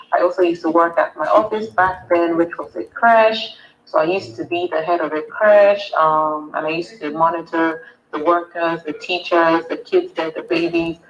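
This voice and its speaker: A woman's voice: lowish voice, lo-fi sound, a little hiss or hum. A Nigerian woman, a wellness coach, earnestly answering summit interview questions and repeating words for emphasis.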